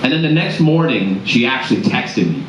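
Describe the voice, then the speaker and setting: A man from Boston with a deep voice doing a conversational stand-up comedy set, tossing quick asides to a live crowd. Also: expressive, background noise. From Boston area, United States. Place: comedy club stage before a live audience. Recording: roomy club sound, dull and lo-fi.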